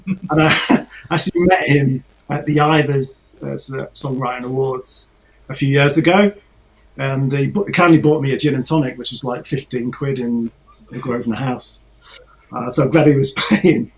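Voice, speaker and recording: A man's voice: mid-range voice, moderate pace, rough recording. A man, a musician guest, chatting cheerfully and telling a story over a video call. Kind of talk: casual chat and short song.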